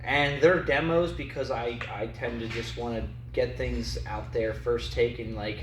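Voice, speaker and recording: A man, a musician guest, chatting casually in an interview, halting and full of "like" fillers. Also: medium pitch, fairly even intonation. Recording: roomy, distant mic with background noise.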